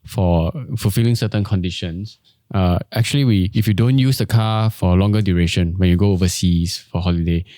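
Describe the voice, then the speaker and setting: A man with a Singaporean accent giving measured, explanatory interview answers as a company executive, often repeating phrases as he goes. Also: sits low, mellow, dry recording, clean studio sound. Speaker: company executive, podcast guest.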